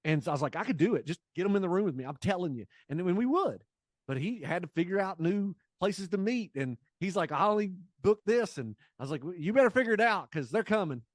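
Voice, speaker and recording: A man in his late forties talking casually as an interview guest, telling things in an energetic way and voicing quoted exchanges. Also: high-pitched, quick pace, dry recording. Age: late 40s. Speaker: guest, business coach and sales trainer.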